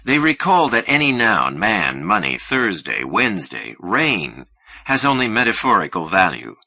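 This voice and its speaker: A man reading literary fiction as an audiobook narrator, in a measured, formal and precise manner. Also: on the low side, big pitch swings, creaky, pushed and bright, dry recording.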